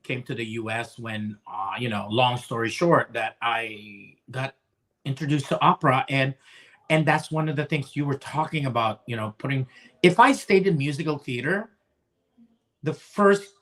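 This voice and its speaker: A man's voice: mid-range voice, moderate pace, fairly bright tone. A man in his forties from the Philippines, an opera singer chatting as a guest, rambling with lots of restarts and fillers. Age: 40s. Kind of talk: casual chat.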